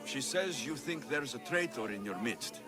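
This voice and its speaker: A man's voice: medium pitch, animated, moderate pace, close to an everyday voice. A man playing a blunt, resolute mercenary bent on vengeance in a video game. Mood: determined. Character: determined male mercenary seeking vengeance, blunt and steady. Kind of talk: scripted video game dialogue. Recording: loud music behind the voice.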